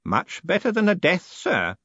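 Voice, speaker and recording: A man voicing a doleful, deferential old postal clerk who speaks in a high, wavering voice and keeps saying sir. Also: huge pitch swings, unhurried, fairly bright tone, no room sound, heavily acted. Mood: hushed, evasive. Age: older. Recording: dull lo-fi recording.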